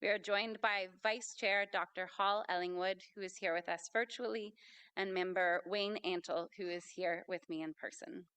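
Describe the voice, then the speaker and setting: A woman emcees a public event in a large city hall space and reads scripted introductions. Her voice is smooth and resonant, and her delivery is polished and formal. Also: fairly low pitch, steady tone, normal speed, a bit bright.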